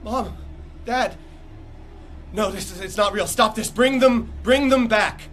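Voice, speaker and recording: A man playing a panicked, grief-stricken young crash survivor in a dramatic table read, halting and repeating himself in desperation. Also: sits high, a bit bright. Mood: desperate. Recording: noisy table-read room recording.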